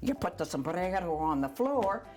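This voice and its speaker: An older woman reads a children's storybook aloud in an animated, chatty way, adding asides for young listeners. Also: fairly low pitch, moderate pace. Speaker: volunteer storyteller.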